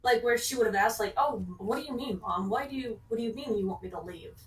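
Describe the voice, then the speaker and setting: A woman's voice: a little high, quick pace. A woman speaking as a co-reviewer in casual discussion, recorded at a distance from the microphone. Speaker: co-reviewer on a reaction show.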